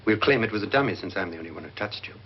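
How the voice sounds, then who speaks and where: An actor playing a self-assured murder plotter in a 1950s horror film, calm and conspiratorial. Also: male voice, fairly low pitch, very fast. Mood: smug, conspiratorial. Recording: dull, noisy old film soundtrack.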